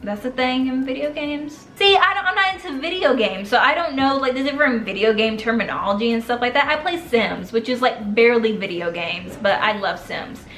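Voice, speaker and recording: A woman's voice: on the high side, expressive, fairly quick, few pauses, a bit bright, roomy sound, slight background noise. A young woman giving casual writing advice in a chatty, loose way, full of fillers and restarts. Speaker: vlogger giving writing tips.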